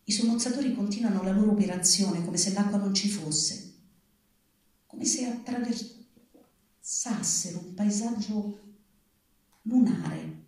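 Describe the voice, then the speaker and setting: Woman doing a solemn literary reading, middle pitch, super echoey room, kinda far from the mic. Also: a little slow, pauses often, dark tone. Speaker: reader at a public literary reading. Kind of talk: literary reading aloud, grave narrative prose.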